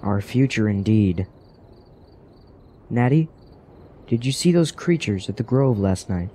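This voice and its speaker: A man's voice: lowish voice, animated, unhurried, mellow, slightly rough. A man playing a troubled, doubting character in a fantasy radio drama, brooding and hesitant in his speech. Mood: uneasy.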